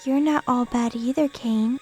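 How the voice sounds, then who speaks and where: A woman playing a gentle, self-doubting young guardian angel in an audio drama, apologetic and hesitant in manner. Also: fairly high pitch, steady tone, measured pace, close to an everyday voice. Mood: shy, gently teasing. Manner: soft-hearted, apologetic, hesitant. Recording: music under the voice. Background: music.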